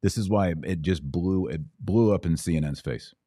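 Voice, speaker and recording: A man's voice: low voice, normal speed, warm tone. A man hosting a podcast, explaining and reacting to political clips in an informal, emphatic way. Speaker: podcast host.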